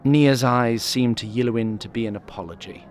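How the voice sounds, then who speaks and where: A man's voice: mid-range voice, moderate pace, a little hiss or hum. A man narrating a scripted fantasy story in a literary, wry manner.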